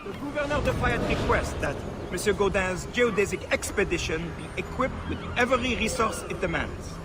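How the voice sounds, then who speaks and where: An actor playing a fussy, awkward colonial envoy, speaking formally and hesitantly in a video game drama. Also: male voice, sits high, lively intonation, moderate pace, heavy background noise. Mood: officious. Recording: loud outdoor ambience with birds.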